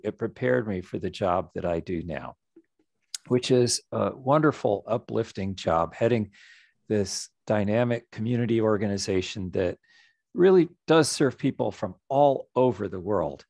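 A middle-aged man, a nonprofit executive director, giving a reflective, measured story-led presentation over a video call. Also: fairly low pitch, lively intonation, gravelly, darkish tone, no room sound. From United States.

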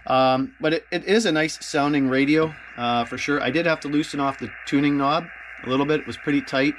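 A man's voice: a little high, steady tone, a little fast, fairly bright tone, a little hiss or hum, low-quality recording. A man talking casually as an amateur radio operator and video host. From Canada. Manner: spells out call signs in phonetic alphabet. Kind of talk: casual narration and ham radio chat.

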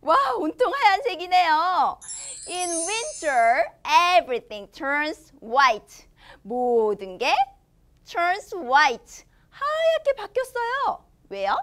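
A young woman teaching a children's language lesson, expressive and enunciating slowly for young learners. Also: extremely high pitch. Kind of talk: children's language lesson, switching between Korean and English.

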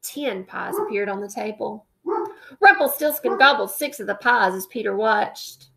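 A woman in her thirties, a teacher, reading a children's picture book aloud on a webcam at home with expressive, drawn-out emphasis. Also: mid-range voice, gravelly, background noise. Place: indoor room at home. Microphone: webcam at close range.

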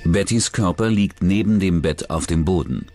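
Deep-voiced male true-crime narrator. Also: moderate pace, dark tone. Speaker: documentary narrator. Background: background music.

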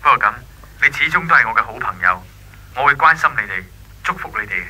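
A man's voice: medium pitch, expressive, quick pace, bright, forceful tone, husky, exaggerated voice, low-quality recording. A man playing a courteous, wistful young friend in a Cantonese radio drama, heard through a telephone filter, with a Hong Kong accent. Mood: wistful, resigned. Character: earnest, wistful young male family friend, courteous and reserved.

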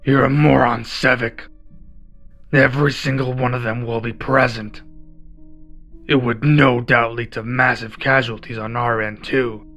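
Male actor as cold villain mastermind for roleplay audio; measured, commanding, hard-edged delivery over music. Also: mid-range voice, fairly even intonation, creaky, fairly bright tone. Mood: contemptuous threat. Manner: imperious, slow and deliberate. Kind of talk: roleplay ASMR villain acting. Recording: music behind the voice.

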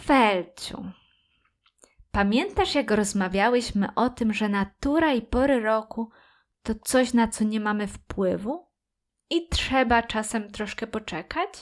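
A woman voicing a gentle, patient mother who explains things soothingly in a children's fairy tale. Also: on the high side, animated, slow.

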